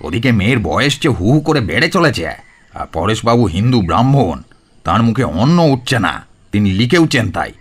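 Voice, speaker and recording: A man's voice: fairly low pitch, big pitch swings, moderate pace, slightly rough. A man playing a pious, pompous, insistent elder brother in an audio story, nagging and scolding.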